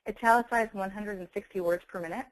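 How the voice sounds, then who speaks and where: A woman giving a webinar software demonstration, enunciating clearly as a presenter. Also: medium pitch, fast, low-quality recording. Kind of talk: webinar product demonstration with dictation. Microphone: close handheld speech mic.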